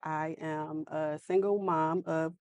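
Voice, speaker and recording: Soft-spoken woman in her thirties. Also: low voice, steady tone, slow pace, dry recording. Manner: soft-spoken, hesitant with ums. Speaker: guest, church community outreach director. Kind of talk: casual interview answers.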